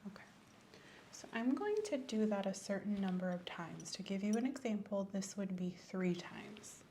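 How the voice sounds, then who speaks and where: A woman hosting an ASMR roleplay as a sleep clinician, speaking softly and often slipping into whispers close to the microphone. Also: medium pitch, moderate pace, slight background noise. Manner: soft-spoken, gentle, often whispering.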